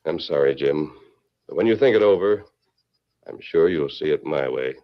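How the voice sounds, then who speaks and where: Stern masked lawman of a radio western: very deep, grave, declamatory, on a muffled vintage 1940s recording. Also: lively intonation. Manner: solemn, declamatory, measured. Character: stern, righteous masked cowboy hero, grave and moralizing.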